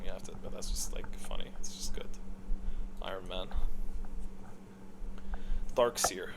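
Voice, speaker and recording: A man's voice: sits low, steady tone, unhurried, background noise. A man giving blunt, casual, rambling commentary on game characters, thinking aloud and second-guessing himself. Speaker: gaming content creator.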